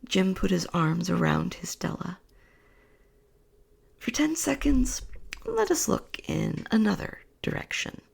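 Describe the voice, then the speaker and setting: A woman storyteller reading a bedtime story in a soft, slow, soothing whisper. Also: medium pitch, sing-song. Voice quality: breathy whisper.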